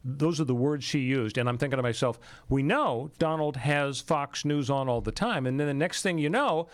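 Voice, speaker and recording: A man with a mid-pitched voice hosts a talk radio show. He delivers political commentary in a conversational way, as if thinking it through with a colleague. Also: normal speed, no room sound.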